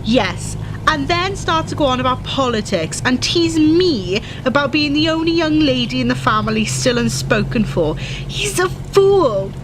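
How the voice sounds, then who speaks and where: A woman playing a high-voiced, spirited daughter. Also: animated, noisy background. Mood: exasperated. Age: young adult. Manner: exasperated, sarcastic, quick to argue. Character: spirited, argumentative young Welsh university student daughter. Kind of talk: 1940s-style radio play acting.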